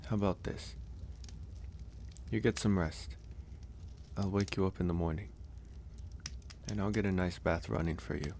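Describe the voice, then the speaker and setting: Man acting as young male werewolf recluse, deep voice, slow hesitant delivery, gentle, intimate ASMR roleplay, faint background noise. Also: steady tone. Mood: tender. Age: young adult. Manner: unhurried, hesitant, reassuring.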